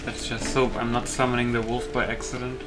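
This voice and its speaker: A man's voice: mid-range voice, level tone, brisk. A man giving casual, informal and hesitant let's play commentary on his own gameplay. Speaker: gamer commentating on own playthrough. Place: voice-over on gameplay. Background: loud game music.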